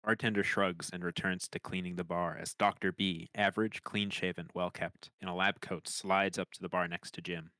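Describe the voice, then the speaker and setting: Male script reader, deep register, dry recording, narrating scene headings and action. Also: level tone, normal speed, few pauses, bright, forceful tone, no echo. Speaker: podcaster reading stage directions. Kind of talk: scripted narration at a table read.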